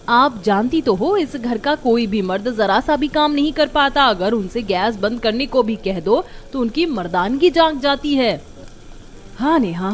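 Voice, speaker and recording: High-voiced aggrieved young daughter-in-law, woman acting. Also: slight background noise, close to an everyday voice. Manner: complaining, expressive. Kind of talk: Hindi cartoon family drama.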